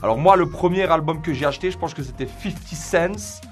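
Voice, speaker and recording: A man's voice: a little high, fairly quick. Young man chatting casually over music. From Belgium, also Croatia. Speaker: interviewee in a couple's question game. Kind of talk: casual chat. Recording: music bed behind the voice.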